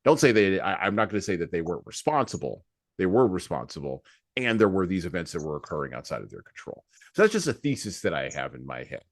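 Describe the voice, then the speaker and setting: An American man with a very low voice talks as a podcast host in a conversational, opinionated way. He leans on repeated phrases and rhetorical questions to drive his points. The sound is dry, with hardly any room in it. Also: sing-song, fast, no echo. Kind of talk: conversational book discussion and commentary.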